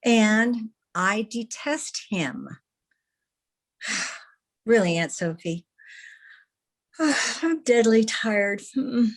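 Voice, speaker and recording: A proud young newspaperwoman played by a woman in old-time radio style. Her delivery is slow and very animated, hesitant and emphatic as she covers her feelings with exasperation. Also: on the high side, exaggerated voice. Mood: weary, exasperated.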